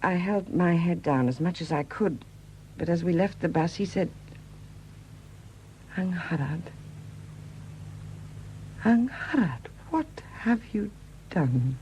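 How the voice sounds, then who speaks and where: An actress in a 1970s British radio drama playing a widowed murderess who confesses in a hesitant, confiding manner. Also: female voice, lowish voice, expressive, takes it slowly, long pauses, a little mellow, a little hiss or hum, rough recording. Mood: weary confession. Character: quiet confessing murderess, a Welsh sculptor's widow.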